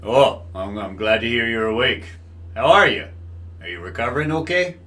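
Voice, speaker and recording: An actor playing a genial middle-aged military consultant in an audio drama, speaking politely and haltingly with many hesitations. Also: male voice, mid-range voice, lively intonation, fairly bright tone, natural voice. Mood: friendly, relieved. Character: genial older military engineering consultant, hesitant, empty-nest husband.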